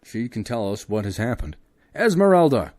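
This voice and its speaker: A man voicing an urgent young gentleman shouting commands during a search. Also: lowish voice, steady tone, normal speed, no room sound, voice pushed up, natural voice.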